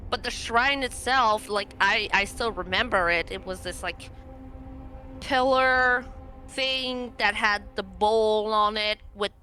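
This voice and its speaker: A woman's voice: mid-range voice, takes it slowly, pushed and bright, faint music behind. A woman talking casually as a player in a tabletop roleplaying game.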